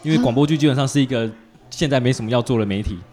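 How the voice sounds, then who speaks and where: A man's voice: medium pitch, racing, darkish tone, soft background music. A man with a Taiwanese Mandarin accent hosting a casual chat, telling and asking things in a colloquial way full of conversational particles. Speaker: podcast host.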